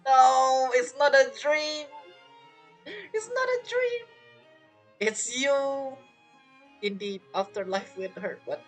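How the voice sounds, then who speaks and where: A woman giving casual, expressive, slangy and giggly reaction commentary as a gaming streamer over game music. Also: high voice, slow pace, long pauses, poor audio. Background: video game music.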